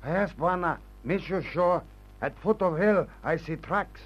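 An actor playing a solemn, deferential African tracker in an old radio adventure serial, speaking terse broken English in a stately way. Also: male voice, sits high, measured pace. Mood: solemn, sure. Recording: dull old radio recording with music.

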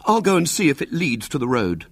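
A man voicing a prim, anxious elderly aunt in a breathless, fluttery falsetto. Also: sing-song, brisk, no room sound. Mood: fretful.

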